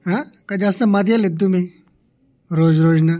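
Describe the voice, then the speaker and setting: A man's voice: high voice, moderate pace, warm tone, close to an everyday voice. A man playing a stern, sarcastic village schoolmaster in a comedy cartoon, with a Khandeshi Ahirani accent. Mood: sarcastic scolding. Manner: sarcastic, scolding, bossy.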